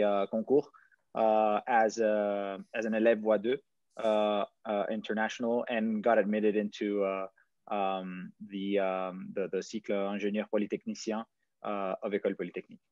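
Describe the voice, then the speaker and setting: A man in his thirties from Brazil presents over a video call, his voice sitting low in pitch. The sound is dry and muffled, like plain webcam audio. He hesitates often, filling gaps with ums and restarting phrases. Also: flat delivery, moderate pace, darkish tone, dry recording. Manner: hesitant, many fillers and restarts. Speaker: startup founder. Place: indoors at home, stone wall behind.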